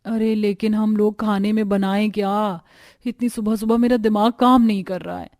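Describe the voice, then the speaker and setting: A woman voicing a weary, overworked young daughter-in-law in an animated story, complaining plaintively. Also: mid-range voice, moderate pace, no room sound, natural voice. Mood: worried.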